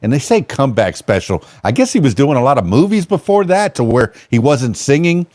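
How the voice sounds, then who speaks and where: A man's voice: mid-range voice, very animated, a little fast, darkish tone, dry recording, studio quality. A man hosting a podcast, chatting casually and rambling with lots of fillers and restarts. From Miami. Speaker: podcast host. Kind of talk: casual interview chat.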